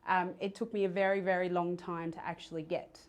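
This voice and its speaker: A woman with an Australian accent speaks as a podcast guest. Her voice sits slightly low for a woman. She talks in a casual, candid way, as if sharing personal experience in conversation. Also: flat delivery, a little fast. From Sydney, Australia.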